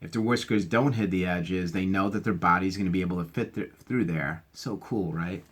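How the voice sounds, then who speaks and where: Low-voiced man, chatty and expressive children's read-aloud host. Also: brisk. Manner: chatty, expressive, addresses young listeners directly. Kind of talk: storybook read-aloud with casual asides.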